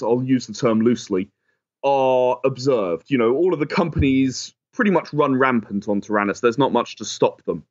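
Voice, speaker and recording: A man's voice: a little high, highs cut off. A man being interviewed as a game creator and producer, explaining his work conversationally.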